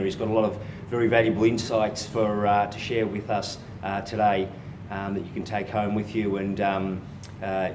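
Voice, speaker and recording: A male podcast host with a fairly low voice asks his guest friendly questions, filling gaps with ums and uhs. He sounds roomy and set back from the mic, with noise all around in the gym. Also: distant mic. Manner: friendly, calls guest mate, many fillers. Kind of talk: casual podcast interview.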